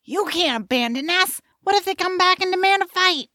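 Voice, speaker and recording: Woman playing a high-pitched, feisty young adventurer girl. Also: lively intonation, brisk, pushed and bright, no room sound, clean studio sound, full character voice. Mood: loyal, concerned. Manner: brash, plucky, slangy. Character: feisty, loyal, teasing young female adventurer and trader. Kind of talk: audio drama acting.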